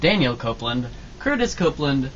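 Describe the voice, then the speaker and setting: A man's voice: medium pitch, very animated, brisk, a bit bright. A man announcing an informal sign-off for an amateur radio show. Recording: dull amateur recording with noise.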